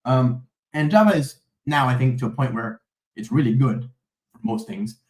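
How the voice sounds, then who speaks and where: A man of ordinary pitch leads a live coding session, talking loosely as he types and stopping often to check in with his viewers. His voice rings a little in a reverberant room. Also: sing-song, normal speed, gravelly. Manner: rambling, many fillers and "okay?", "right?" check-ins; talks to a live chat while typing code. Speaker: host of a live coding stream. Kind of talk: live coding walkthrough, casual and chatty.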